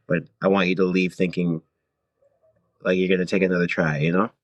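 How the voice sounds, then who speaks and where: A man's voice: low voice, flat delivery, brisk, dry recording, lo-fi sound. A man from Toronto, talking as an interview guest in a conversational, reflective way and often checking in with the listener. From Toronto, Canada. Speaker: podcast guest, singer-songwriter.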